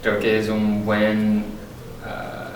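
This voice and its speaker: A man from California with a lowish voice who speaks slowly, with hesitant pauses. He sounds far from the mic in a very echoey room with steady noise. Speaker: student. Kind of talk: testimonial interview.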